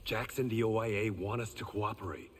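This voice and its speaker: A man's voice: fairly low pitch, a little fast, husky, soft background music. An actor playing a tough, wry reformed criminal swordsman with dry, confident banter in a video game. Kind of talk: video game fight intro acting. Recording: long hall reverb.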